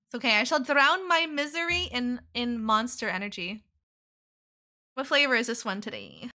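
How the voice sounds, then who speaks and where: Brief: bubbly woman streamer, high and bright, slight sore throat, casual giggly chat. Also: normal speed. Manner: bubbly, giggly, reads out viewer thanks. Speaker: VTuber streamer.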